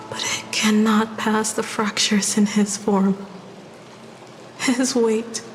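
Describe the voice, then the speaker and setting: An actress voicing a gentle, fading young woman poet in a fantasy game, breathy, weak and halting. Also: mid-range voice, natural voice. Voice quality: breathy, whispery. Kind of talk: dramatic scripted game voice acting. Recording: music and rain behind.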